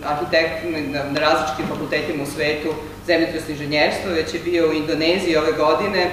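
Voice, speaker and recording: Man, seminar host, halting introduction, slightly high voice, heavy room echo. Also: fairly even intonation. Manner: halting, many fillers and restarts. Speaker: seminar organizer introducing the speaker. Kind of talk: formal introduction. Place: large lecture hall. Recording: echoey hall from far off with noise.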